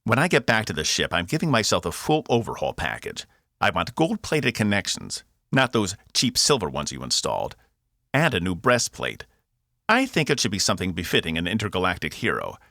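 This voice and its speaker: A man's voice: low-pitched, wildly swooping pitch, fairly quick, pushed and bright, dry recording, clean studio sound, voice pushed up, full character voice. A man voicing a vain, theatrical robot sidekick with a Cockney accent and a teasing, grandiose manner in an audiobook. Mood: pompous, self-pitying.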